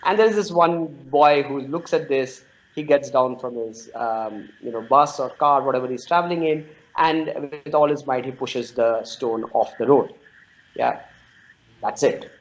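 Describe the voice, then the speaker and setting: A man's voice: mid-range voice, a little slow. A man in his forties with an Indian accent answers interview questions with anecdotes, often repeating words as he explains. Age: 40s. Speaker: interviewee, storytelling non-profit founder. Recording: dull lo-fi audio.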